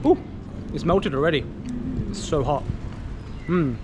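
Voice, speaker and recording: A man's voice: fairly high pitch, expressive. Upbeat English man on a noisy street. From England. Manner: upbeat, chatty, quick to laugh. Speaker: travel vlogger host. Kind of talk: casual travel vlog chat. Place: outdoors on a busy city street. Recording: city street noise behind. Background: traffic and street ambience.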